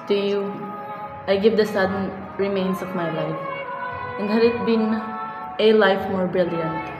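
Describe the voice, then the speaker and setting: A woman's voice: mid-range voice, natural voice. A woman playing a grieving sister, solemnly reciting a farewell poem in an amateur radio drama. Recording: echoey hall, music behind.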